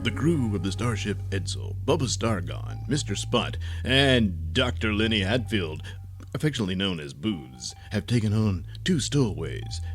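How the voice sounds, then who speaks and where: A man narrating a sci-fi comedy serial in a mock-dramatic scripted style. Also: fairly low pitch.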